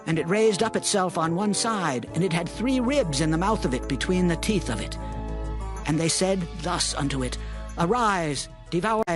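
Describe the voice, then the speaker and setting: A devout, steadfast prophet whose voice sits high for a man. He declaims reverently in a biblical audio drama, with music clearly behind him. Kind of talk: dramatized scripture reading.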